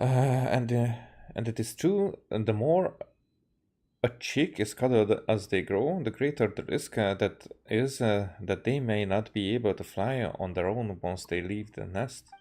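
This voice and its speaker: A man, a game streamer reading dialogue aloud haltingly and correcting himself as he goes. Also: on the low side, a little mellow. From Hungary.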